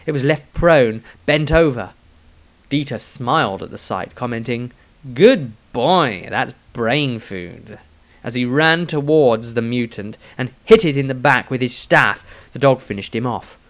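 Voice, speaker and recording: A man's voice: mid-range voice, normal speed, fairly bright tone. A man reading scripted fantasy narration as a storyteller, with a theatrical, emphatic delivery. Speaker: author-narrator.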